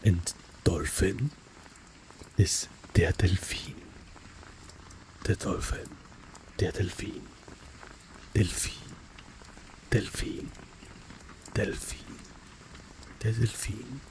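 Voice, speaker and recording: A low, gravelly man talks through a vocabulary lesson at an unhurried pace. He often drops into a whisper to repeat each word several times. Faint rain patters in the background. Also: lively intonation, noisy background. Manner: soft-spoken, often whispers words, repeats them slowly. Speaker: German vocabulary teacher. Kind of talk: relaxed spoken language lesson with whispered repetitions.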